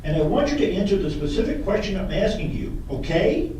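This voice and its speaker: A man's voice: high-pitched, big pitch swings, a little fast, dark tone. A man playing an insistent, pedantic attorney who repeats questions in a puppet courtroom cross-examination. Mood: pressing, insistent. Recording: echoey room, distant mic, faint noise.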